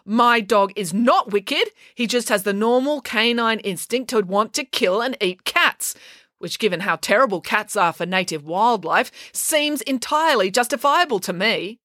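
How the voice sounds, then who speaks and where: A woman's voice: mid-range voice, animated, normal speed, hardly any pauses, sharp tone, no echo, clean studio sound, pitched above the performer's own voice. A woman voicing a feisty, blunt young girl who argues with emphatic sarcasm. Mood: defiant sarcasm.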